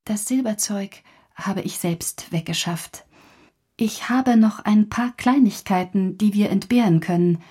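An actress playing a genteel, melodramatic, impoverished wife, proud and fragile, with a plaintive manner in a German radio drama. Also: female voice, medium pitch, unhurried, warm tone, breathy edge. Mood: pained, discreet.

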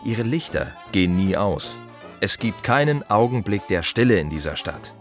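A man's voice: on the low side, very animated, moderate pace, soft background music. A man playing a world-weary, wry gravedigger in a radio play monologue, talking conversationally in short, emphatic, rhythmic repeated phrases. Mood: agitated, scornful. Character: world-weary, wry male gravedigger musing on death and the city.

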